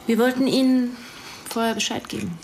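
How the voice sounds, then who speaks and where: An actress playing a weary, bitter police investigator in a radio crime drama, terse and sardonic. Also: female voice, fairly high pitch, fairly even intonation, fairly quick, a little mellow, slight background noise, close to an everyday voice, low-quality recording. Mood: firm. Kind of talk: naturalistic German radio crime drama acting.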